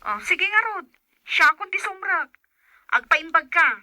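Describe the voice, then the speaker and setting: A perky young female friend in an Ilocano radio drama. She teases in a high, extremely bright and sharp voice, recorded dry and close. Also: unhurried, no room sound, exaggerated voice. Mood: perky, teasing.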